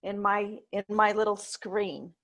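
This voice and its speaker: A woman's voice: mid-range voice, flat delivery, a little slow, a bit bright. A woman giving casual, encouraging feedback as a director.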